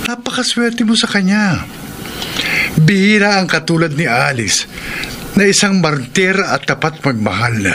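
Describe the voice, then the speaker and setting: An actor playing an earnest, cautioning friend in a Filipino radio drama, with a Filipino accent. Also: male voice, a little high, animated, unhurried. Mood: earnest warning. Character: earnest male friend Tony, moralizing and cautioning. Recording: clearly noisy radio recording.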